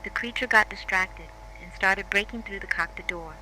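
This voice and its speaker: A woman's voice: mid-range voice, normal speed, pushed and bright, slight background noise, low-quality recording. A woman playing a shaken crash survivor, giving a scripted first-person account step by step in a horror drama.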